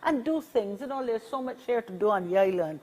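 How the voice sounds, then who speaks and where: A woman's voice: fairly high pitch, animated, fairly quick, a little mellow. Upbeat Barbadian woman in her sixties. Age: 60s. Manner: upbeat, encouraging, repeats key phrases. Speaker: senior advocacy organization representative. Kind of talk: casual interview conversation.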